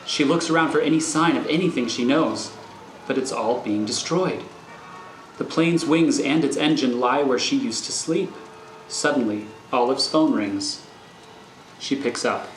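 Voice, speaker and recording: A man's voice: medium pitch, unhurried, a little hiss or hum. A man reading scripted screenplay narration as the narrator of a thriller. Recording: roomy recording over faint music.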